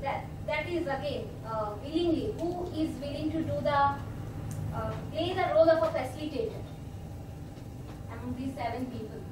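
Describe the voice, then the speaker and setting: A young woman runs a workshop, explaining a group game to volunteers with patient, filler-heavy phrasing. Her high voice comes from across a large meeting room. The sound is roomy and distant, with steady background noise. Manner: speaks slowly with many fillers, explaining patiently. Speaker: meetup facilitator. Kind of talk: workshop hosting. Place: hotel meeting room, indoors. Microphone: far camera. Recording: echoey room from far off, noisy.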